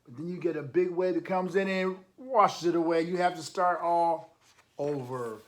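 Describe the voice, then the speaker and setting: A man's voice: on the high side, moderate pace. A man reading a picture book aloud for children, adding encouraging, emphatic asides and putting questions to his listeners. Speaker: storytime reader, coach.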